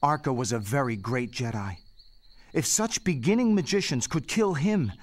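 An actor playing a headstrong young Jedi knight, earnest and intense, in an audio drama. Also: male voice, mid-range voice, expressive, normal speed, close to an everyday voice. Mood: insistent.

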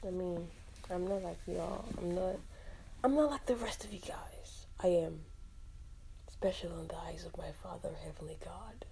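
A young woman from Nigeria, hosting a casual solo podcast, chatty and animated, with frequent laughs and fillers. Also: fairly low pitch, normal speed, gravelly, a little hiss or hum, low-quality recording. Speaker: podcast host.

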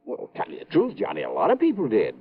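An actor playing a gullible, chatty small-town police chief in a 1950s radio drama, with folksy, rambling, ungrammatical speech. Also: male voice, medium pitch, wildly swooping pitch, fast talker. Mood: chummy, evasive. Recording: dull vintage radio recording.

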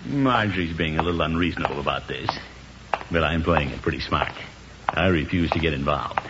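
An actor playing a fussy, pompous middle-aged uncle with drawn-out exclamations in 1950s radio comedy. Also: male voice, a bass voice. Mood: placating, pompous. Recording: very noisy, muffled radio recording, studio audience.

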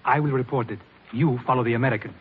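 A man's voice: mid-range voice, steady tone, fast, lightly acted. An actor playing a furtive Sicilian henchman with an Italian accent in a 1940s radio drama. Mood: conspiratorial. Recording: noisy, muffled 1940s radio recording.

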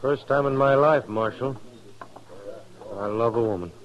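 On a dull vintage radio recording, a gentleman gambler played by a man speaks in a medium-pitched voice. He is formal and polite, with a proud, cool defiance typical of radio western acting. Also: unhurried, close to an everyday voice. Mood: resolute. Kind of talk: 1950s radio drama acting.

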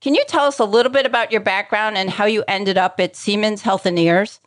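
A woman's voice: medium pitch, brisk, no echo, studio quality. A woman hosting an interview podcast, introducing and questioning her guest. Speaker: podcast host.

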